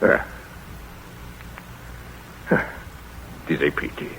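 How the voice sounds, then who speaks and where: An actor playing a proud, aged male detective, slow and grand, in a 1930s radio play. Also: background noise, close to an everyday voice. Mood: commanding. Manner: pompous, measured pauses. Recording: lo-fi old radio broadcast.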